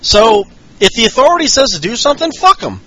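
A man's voice: very high voice, very animated, fast talker, fairly bright tone, no echo, poor audio. A man in his early thirties co-hosting a podcast, giving blunt, profane short replies in casual wrestling chat. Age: 30s. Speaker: podcast co-host.

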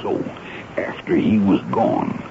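An American man acting in a 1970s radio drama as a folksy, dutiful army sergeant, gravelly, plainspoken and deferential to his superior. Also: deep voice, animated, takes it slowly. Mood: confiding. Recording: noisy, muffled radio broadcast recording.

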